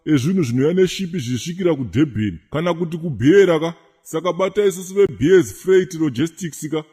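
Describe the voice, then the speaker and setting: Swaggering cartoon ad pitchman, acted by a man: Zimbabwean, fast, mellow, boastful millionaire slang. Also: animated, breathy edge. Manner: slangy, boastful sales patter. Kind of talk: comic cartoon advertisement.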